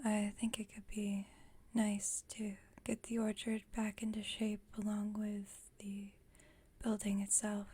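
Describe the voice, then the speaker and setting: A woman plays a female vampire roommate in an intimate ASMR roleplay. Her voice is a soft, breathy whisper with a dark, mellow tone. She chats at a slow, unhurried pace with gentle wry exasperation. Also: mid-range voice. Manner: unhurried, conversational, lightly wry. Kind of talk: ASMR roleplay, intimate one-sided chat.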